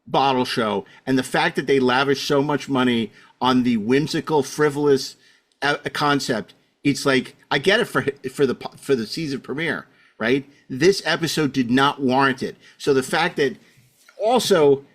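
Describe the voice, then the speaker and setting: A man's voice: medium pitch, normal speed, fairly bright tone. A man with a mid-range voice hosts a casual panel show. He is opinionated and argumentative, pressing each point with emphasis and circling back over his own phrases. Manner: emphatic, argumentative, repeats himself. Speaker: podcast host.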